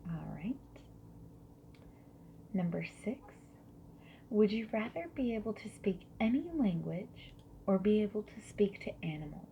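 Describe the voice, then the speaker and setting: A woman doing a soft-spoken ASMR roleplay as a friendly survey interviewer, slipping between whispers and quiet speech. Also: medium pitch, animated, gravelly. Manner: friendly, gentle, unhurried questioning with soft acknowledgements. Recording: lo-fi muffled sound with background noise.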